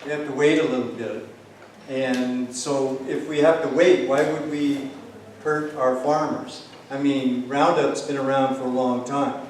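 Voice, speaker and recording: A man's voice: mid-range voice, level tone, a little slow. A plainspoken man from Hawaii gives an informal, loosely rambling campaign speech as a council candidate. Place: high school hall public forum. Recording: echoey hall, distant mic, faint noise.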